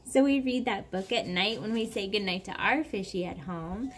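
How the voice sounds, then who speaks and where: A young woman speaking to a small child in a gentle, drawn-out way, as in a story time for toddlers. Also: medium pitch. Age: young adult. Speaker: parent reading to a toddler. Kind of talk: singing and story reading for toddlers. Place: covered backyard patio, outdoors. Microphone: camera at about arm's length. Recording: clear background noise outdoors.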